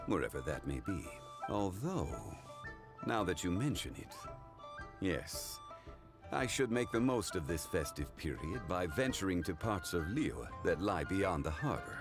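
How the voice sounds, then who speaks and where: A calm, learned gentleman of ancient bearing from a video game. His voice sits low, and he talks with formal, reflective poise. Music plays prominently behind him. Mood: calm, content. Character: calm, erudite, ancient gentleman consultant of leisure.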